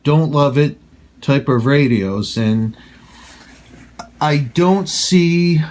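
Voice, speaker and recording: A man giving a measured, plain-spoken casual review and demonstration as a hobbyist. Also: on the high side, animated, darkish tone.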